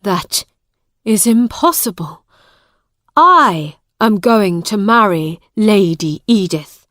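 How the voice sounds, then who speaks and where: Storybook: woman playing a loud, insistent proud man, slow, dry. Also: medium pitch, expressive, no echo. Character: proud, hot-tempered grown man claiming his home.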